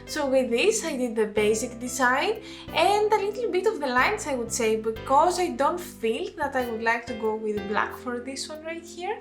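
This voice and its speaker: High-voiced Greek woman in an echoey room. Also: animated, moderate pace. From Greece. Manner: chatty, explanatory, stops to correct herself. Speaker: makeup artist and channel host. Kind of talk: narrated makeup tutorial. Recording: echoey room with faint music.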